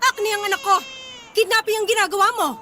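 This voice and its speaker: A woman's voice: very high voice, steady tone, quick pace, pushed and bright, a little hiss or hum. An actress playing a sarcastic, confrontational young wife and mother in a radio drama, taunting and accusing. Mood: furious, anguished.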